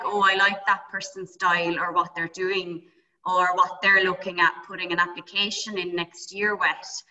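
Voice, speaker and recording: A woman from Europe asks a long, rambling question on a video call, hesitant and apologetic. Her voice is very bright and sharp but sits far from the mic. The call audio is dull and muffled. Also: mid-range voice. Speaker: participant asking a question.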